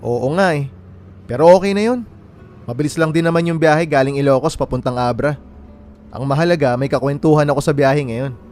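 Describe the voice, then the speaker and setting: A man acting a friendly young Filipino bachelor, higher-pitched. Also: brisk, a little mellow, soft background music, lightly acted. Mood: casual, upbeat. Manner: casual, conversational. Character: friendly, candid young bachelor chatting on a bus. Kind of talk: radio drama dialogue.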